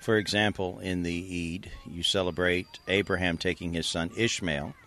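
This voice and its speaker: A man acting as an evangelist interviewer, asking measured, probing questions about faith, with an occasional "uh". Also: deep voice, fairly even intonation, pushed and bright. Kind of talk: street interview with scripted intro.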